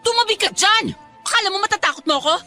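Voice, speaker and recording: Bright, high, bossy Filipina friend. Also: female voice, animated, fast talker, soft background music, full character voice. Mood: urgent, protective. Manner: scolding, insistent. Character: bossy, protective, outspoken young female best friend. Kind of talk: Filipino radio drama acting.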